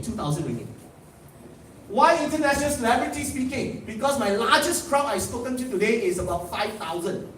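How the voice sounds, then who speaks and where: A Malaysian man with a fairly high voice tells boastful stories on a convention stage, laughing at his own jokes. His Malaysian English rings through a big echoey hall, heard from some way off the mic. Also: recorded from a distance, a little hiss or hum. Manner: boastful, animated storytelling with laughs. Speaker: motivational speaker. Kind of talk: live stage talk. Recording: echoey hall picked up from afar.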